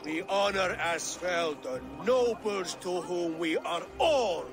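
An actor playing a pompous, scheming middle-aged Norse lord in a video game, with theatrical, grandiose delivery. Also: male voice, high voice, lively intonation, takes it slowly, music in the background, heavily acted. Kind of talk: video game cutscene acting.

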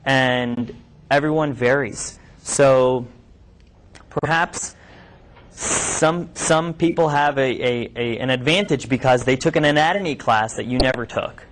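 A man in his thirties, originally from New York, chats informally with a room of medical students. His pitch sits in an ordinary middle range, and he hesitates often, circling back with ums and false starts. The sound comes from a camera across an indoor classroom lab. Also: unhurried, a little hiss or hum, poor audio. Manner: conversational, hesitant, with many ums and restarts. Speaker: physician speaking to medical students. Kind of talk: informal talk with questions from the audience.

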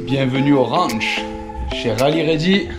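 A man in his thirties from France, speaking with a French accent, excitedly narrating a tour with a handheld action camera, laughing often. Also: mid-range voice, unhurried, loud music behind the voice. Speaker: vlogger touring a car workshop. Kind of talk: casual handheld vlog narration. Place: house, workshop and ranch, indoors and out. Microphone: action camera held at arm's length.

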